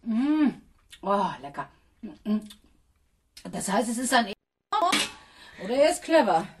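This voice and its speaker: A woman's voice: a little high, very animated, a little slow, noisy background. An actress playing a police chief inspector in a radio crime drama, dry, wry and commanding with her colleague.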